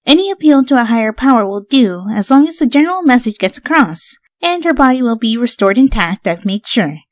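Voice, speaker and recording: A woman's voice: on the high side, very animated, a little fast, no echo, studio quality, pitched above the performer's own voice. A woman voicing an earnest, bossy young female pony scholar in a story reading, articulate and scolding. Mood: brisk explaining.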